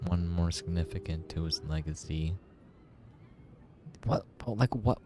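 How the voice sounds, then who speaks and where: Very deep-voiced man casually commenting. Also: unhurried, background noise. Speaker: game reviewer playing on a recording.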